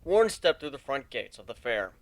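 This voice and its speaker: Expressive male storyteller, close dry sound. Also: mid-range voice, fairly quick, no room sound. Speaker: narrator. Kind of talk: dramatic reading of fan fiction.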